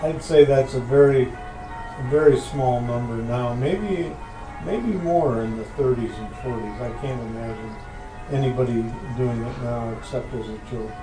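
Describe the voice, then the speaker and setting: Older guy guest, deadpan casual answers, pauses a lot, echoey with music playing. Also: mid-range voice. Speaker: recurring podcast guest. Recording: echoey room with music behind.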